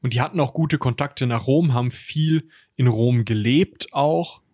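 A man's voice: mid-range voice, measured pace, darkish tone, dry recording. Man chatting casually, dry close sound. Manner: colloquial, slangy, jokey asides. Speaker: history podcast co-host. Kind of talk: casual conversational history discussion.

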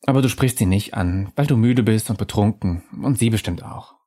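A man reading a story, voicing an elusive female ghost in a bar with a raised, soft, earnest voice. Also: fairly low pitch, fairly quick, natural voice. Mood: wistful.

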